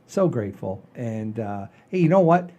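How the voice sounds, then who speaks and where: A man's voice: mid-range voice, sing-song, moderate pace, dark tone, lo-fi sound. A man in his sixties hosting a casual comedy chat show in a folksy, rambling, enthusiastic way. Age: 60s. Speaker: podcast host.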